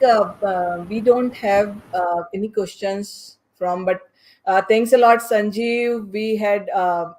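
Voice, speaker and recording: A woman hosting a podcast panel in a conversational way, speaking haltingly and repeating phrases. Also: on the low side, a little mellow, poor audio. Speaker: podcast host moderating a panel.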